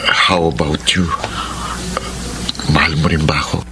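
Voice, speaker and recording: An actor playing a devoted, determined romantic hero in a Filipino radio drama, earnest and sentimental. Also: male voice, a bass voice, level tone, unhurried, background noise. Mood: tender.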